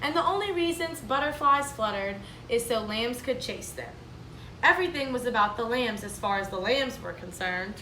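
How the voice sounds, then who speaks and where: A woman, a kindergarten teacher, reading a storybook aloud to children in a clear, explaining, sing-song manner. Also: a little high, lively intonation, normal speed, sharp tone, a little hiss or hum. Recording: echoey room, mic at a distance.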